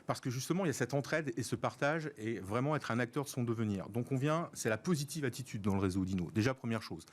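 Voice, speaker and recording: Measured French-speaking man, explanatory. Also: mid-range voice. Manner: measured, explanatory, cites figures. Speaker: guest, network organizer. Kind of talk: radio interview answers.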